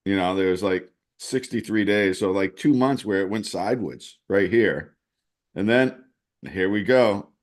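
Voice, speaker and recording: Very deep-voiced man musing over charts. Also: unhurried. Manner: rambling, restarts often, thinks aloud. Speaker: co-host, chart analyst. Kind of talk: casual crypto chat over screen-shared charts.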